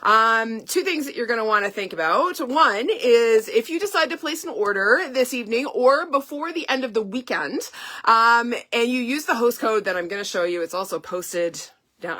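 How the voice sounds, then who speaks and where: A middle-aged woman from Canada hosting a casual live stream, chatty and upbeat, her voice a little hoarse and stuffy from illness. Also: fairly high pitch, moderate pace, sharp tone. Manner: chatty, upbeat, frequent laughs and ums. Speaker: independent stamping demonstrator hosting a live launch.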